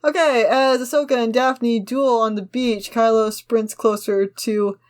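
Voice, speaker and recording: A woman's voice: fairly high pitch, steady tone, unhurried, darkish tone. A woman co-hosting a casual discussion, reading a script aloud and commenting on it in an opinionated, argumentative way. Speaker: podcast co-host.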